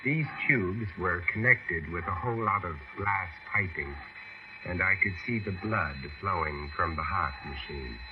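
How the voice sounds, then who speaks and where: An actor playing a grave, uneasy gentleman who narrates a radio horror tale in a deliberate, suspenseful, formal manner. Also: deep voice. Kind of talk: dramatic first-person radio horror narration. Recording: muffled, very noisy old radio recording.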